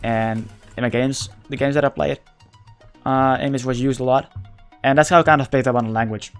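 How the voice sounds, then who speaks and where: A man whose first language is Dutch speaks English with a Dutch accent in casual livestream chat. He talks haltingly, searching for words and often restarting. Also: medium pitch, steady tone, clear, resonant tone, soft background music. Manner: halting, searching for words, many repeated starts.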